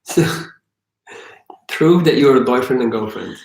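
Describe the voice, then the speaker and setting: A man's voice: medium pitch, animated, a little slow, creaky, a little mellow, roomy sound, rough recording. A man hosting a live question-and-answer stream, joking and teasing while he reads out viewer questions. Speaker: YouTube host.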